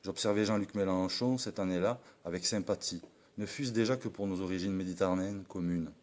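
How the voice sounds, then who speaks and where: Deep-voiced Frenchman giving earnest address. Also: a little fast, a little mellow. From France (Perpignan). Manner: formal, earnest, declamatory with small slips. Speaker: political supporter. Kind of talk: read political address.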